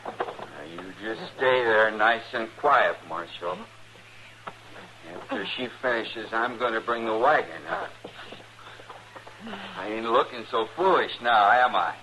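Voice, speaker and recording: Slow, menacing simple-minded backwoods son. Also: male voice, lowish voice, lively intonation, sharp tone, heavily acted. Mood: menacing, smug. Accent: American rural Western. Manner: childlike, stubborn. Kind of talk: old-time radio western acting. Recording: noisy vintage radio recording.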